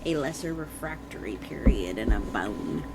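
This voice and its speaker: A woman's voice: a bass voice, animated, moderate pace, music in the background. A woman co-host chatting casually with wry, offhand comments. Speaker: podcast co-host. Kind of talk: casual chat, short interjections. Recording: noticeable background noise.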